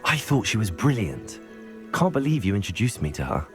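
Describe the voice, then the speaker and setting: A curious, polite schoolboy hero in a fantasy video game. His voice is low yet very varied in pitch, with a courteous manner. Music is clearly heard underneath. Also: sing-song, normal speed. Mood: earnest.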